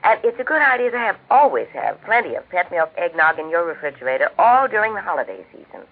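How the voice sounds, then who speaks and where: An American woman with a genteel, measured, instructive manner, speaking scripted homemaking talk as host of a 1940s radio cooking show. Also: fairly low pitch, sing-song, slightly rough. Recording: tinny band-limited 1940s radio recording.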